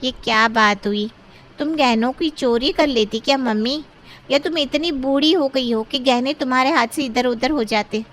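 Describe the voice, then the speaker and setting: A woman's voice: sits high, normal speed, fairly bright tone, rough recording. A woman playing a scheming, haughty young sister-in-law in a Hindi cartoon drama, boastful and sly. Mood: indignant, goading. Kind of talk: Hindi moral-story cartoon dialogue.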